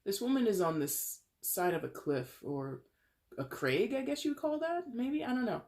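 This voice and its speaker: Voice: mid-range voice, sing-song, moderate pace, darkish tone. A tarot reader with a head cold, congested and with a dry throat, chatting casually to camera with rambling self-corrections. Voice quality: dry throat, clears it often.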